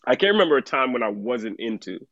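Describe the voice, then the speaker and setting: A middle-aged man from Trinidad, a writer, talking about his work in a relaxed way and laughing often. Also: medium pitch, quick pace, dry recording, highs cut off. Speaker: author guest. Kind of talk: casual podcast conversation.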